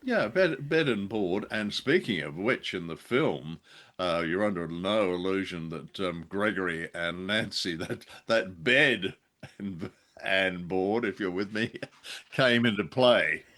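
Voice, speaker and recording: A man's voice: low voice, lively intonation, slow, a bit bright. A man co-hosting a show, chatting casually about old entertainment in a hesitant, jokey way. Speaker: podcast co-host. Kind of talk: casual film and radio discussion.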